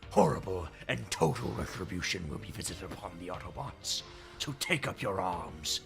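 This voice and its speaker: Deep, forceful robot warlord villain. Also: male voice, vocal fry, exaggerated voice. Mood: grandiose, wrathful. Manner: grandiose, menacing, theatrical oratory. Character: commanding, brooding, wrathful giant robot warlord, male. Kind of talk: dramatic villain monologue and narration, fan comic dub.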